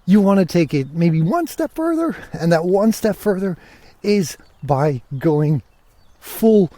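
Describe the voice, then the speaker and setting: A man's voice: sits high, very animated, slow pace, warm tone, no echo. A man hosting a podcast, talking casually and conversationally, often posing questions to himself on a close microphone. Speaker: podcast host.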